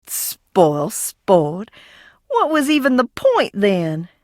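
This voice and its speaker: An actress playing an eccentric, bitter younger sister in a video game, theatrical and mercurial, giggly and menacing by turns. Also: female voice, mid-range voice, huge pitch swings, drawn-out words, dry recording, studio quality, heavily acted. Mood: gleeful, spiteful. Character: eccentric, bitter, unhinged younger sister living hidden in a crypt. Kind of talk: video game character acting.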